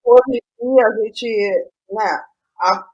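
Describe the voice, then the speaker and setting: A middle-aged Brazilian woman speaking as an interview guest, reflective and halting, qualifying her own words as she goes. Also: mid-range voice, darkish tone, lo-fi sound. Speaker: guest playwright.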